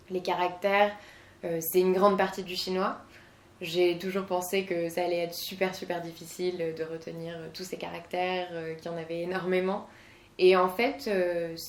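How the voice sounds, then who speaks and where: Young French woman in an echoey room. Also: fairly low pitch. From southern France. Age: 20s. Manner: hesitant, many fillers. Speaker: guest, conference interpreter. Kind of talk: interview answers.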